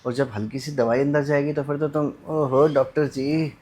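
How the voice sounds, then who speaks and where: An actor playing a sleazy young man who poses as a doctor, talking smooth and suggestive in a short film. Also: on the high side, steady tone, normal speed, darkish tone, close to an everyday voice.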